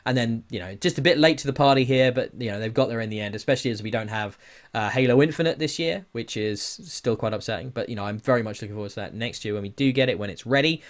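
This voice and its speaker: A man's voice: medium pitch, fast talker. A British man hosting a podcast, chatting casually through gaming news. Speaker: podcast host.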